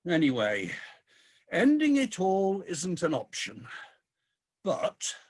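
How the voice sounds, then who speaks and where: A man's voice: high voice, wildly swooping pitch, slow, full character voice. An actor playing a weary, disillusioned ageing king of the gods in a comic radio play, with a grumbling, theatrical delivery. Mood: disillusioned, exasperated.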